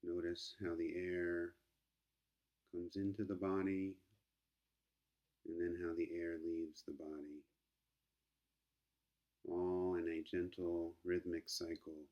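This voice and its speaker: A man leading a guided meditation as a teacher, speaking gently and calmly with long unhurried pauses. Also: sits low, lo-fi sound.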